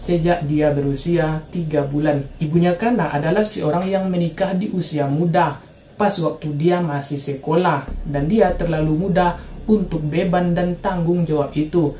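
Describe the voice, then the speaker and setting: A woman's voice: low-pitched, normal speed, warm tone, roomy sound, slight background noise. A woman from Indonesia telling true-crime stories for her channel in a casual, slangy narrating style.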